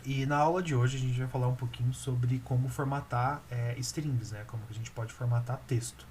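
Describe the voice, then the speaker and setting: A Brazilian man walks through code in a relaxed, halting way, at a mid pitch, with fillers between steps. Clear background noise and keyboard typing sit under his voice. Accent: Brazilian Portuguese. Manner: relaxed, hesitant explaining with fillers while typing. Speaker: programming instructor. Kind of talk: screen-recorded coding lesson.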